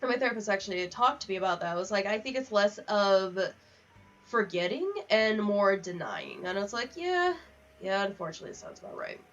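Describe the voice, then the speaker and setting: A woman's voice: mid-range voice, sing-song, a little fast, a bit bright, far from the mic, highs cut off. A woman livestreaming a game with casual, candid chat, swearing freely in a wry way and reading bits of text aloud. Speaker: livestream host. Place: home office.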